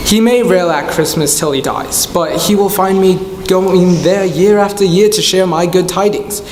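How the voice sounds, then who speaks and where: A man playing a good-natured, merry young Victorian gentleman in a staged radio play. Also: high voice, normal speed, lightly acted. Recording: echoey hall, faint noise.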